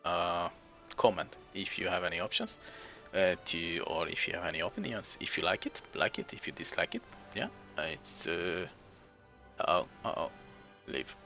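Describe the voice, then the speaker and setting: A man's voice: low voice, normal speed, creaky, bright, forceful tone, faint music behind. A young man with a Hungarian accent, English not his first language, giving rambling, chuckling, self-deprecating commentary over gameplay. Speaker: game streamer.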